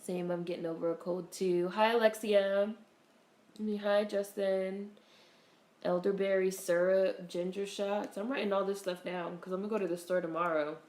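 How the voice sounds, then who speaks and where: A woman hosting a casual livestream, greeting viewers in a relaxed, chatty way while congested with a cold. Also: medium pitch, moderate pace, reverberant. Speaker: livestream host.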